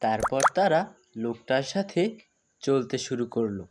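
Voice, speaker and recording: A man's voice: medium pitch, expressive, measured pace. A man narrating a scripted horror story.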